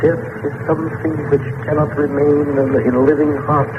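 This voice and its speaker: An actor playing a solemn, mysterious emissary in a 1940s radio drama, speaking in a formal, stilted, measured way. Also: male voice, fairly high pitch, flat delivery, close to an everyday voice. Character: solemn mysterious male emissary of hidden masters. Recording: hissy lo-fi old radio transcription.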